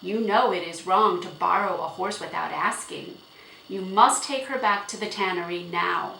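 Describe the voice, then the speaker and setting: A woman voicing a firm, principled middle-aged father in an audiobook, with formal, deliberate speech. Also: on the low side, creaky, sharp tone, reverberant, slight background noise, poor audio. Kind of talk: audiobook character acting.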